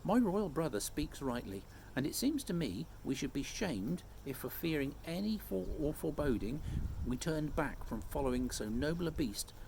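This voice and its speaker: A man's voice: a little high, sing-song, normal speed, creaky, a little mellow, slight background noise, voice pushed up, low-quality recording. A man voicing gracious young storybook queens with courtly, old-fashioned phrasing. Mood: earnest.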